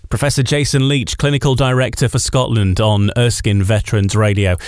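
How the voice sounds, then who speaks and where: A man's voice: medium pitch, level tone, brisk, darkish tone, clean tone, no room sound. A man presenting a radio show and interviewing a guest, introducing segments and putting questions on a close microphone.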